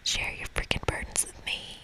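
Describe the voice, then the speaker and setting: A woman's voice: normal speed, bright, forceful tone, rough recording. A woman voicing a brash, nosy, hot-headed young male demon from a video game, pestering and indignant, in a whisper. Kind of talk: whispered video game dialogue read aloud.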